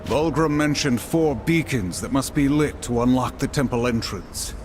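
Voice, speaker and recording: A man's voice: mid-range voice, normal speed, a little hiss or hum, natural voice. An actor playing a stern, dutiful apocalyptic horseman in a video game, with a solemn, commanding, formal manner. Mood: grave. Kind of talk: video game cutscene acting.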